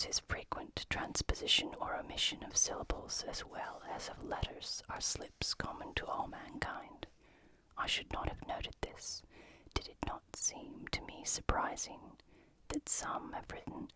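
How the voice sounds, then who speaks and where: An ASMR host whispering as they read aloud and explain in a scholarly, deliberate manner. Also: poor audio.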